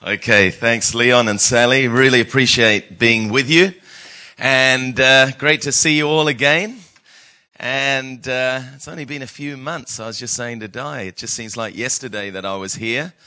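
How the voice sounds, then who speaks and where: A man with a booming voice, speaking as a guest pastor at a church and talking plainly to the staff. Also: unhurried, pushed and bright, dry recording. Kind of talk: church talk.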